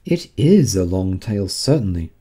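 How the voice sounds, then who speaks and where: A man's voice: deep voice, sing-song, unhurried, mellow, slightly rough, full character voice. A man voicing a polite, earnest young girl in a storybook, with a humble and eager manner. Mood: puzzled.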